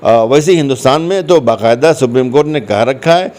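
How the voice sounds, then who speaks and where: Man, mid-pitched voice, conversational interview guest, explanatory, repeats phrases for emphasis. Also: animated, fairly quick, darkish tone.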